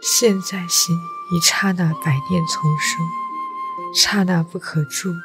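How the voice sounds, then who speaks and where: A woman playing a devout, composed imperial consort in a historical audio drama, solemn and measured. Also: on the low side, fairly even intonation, music in the background. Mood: serene, solemn.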